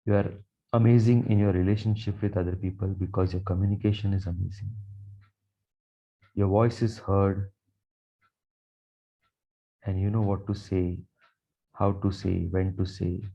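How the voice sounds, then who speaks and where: A man with a low, deeply mellow voice leads a guided meditation. He speaks slowly and soothingly, leaving long pauses between short phrases. The recording is dull and muffled. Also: gravelly. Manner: slow, calm, soothing delivery with long pauses. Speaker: meditation guide, Reiki teacher.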